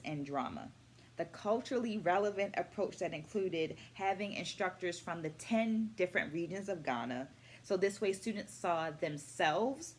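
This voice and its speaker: A middle-aged woman presenting a scripted book review to camera as an educator, in a measured, articulate way. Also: sits low. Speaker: host, dance educator. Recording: clearly noisy room ambience.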